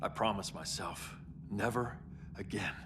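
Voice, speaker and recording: A man's voice: on the low side, a little slow, fairly bright tone, a little hiss or hum. An American man playing an authoritarian, self-righteous alien superhero in a dramatic video game. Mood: bitter, resolute. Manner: grave, commanding. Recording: echoey, distant, music behind.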